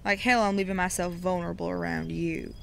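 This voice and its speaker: A woman playing a gruff, stubborn young male deputy in a Western audio drama, curt and grumbling. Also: lowish voice, flat delivery, fairly quick, a bit bright, a little hiss or hum. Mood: wary, grumbling.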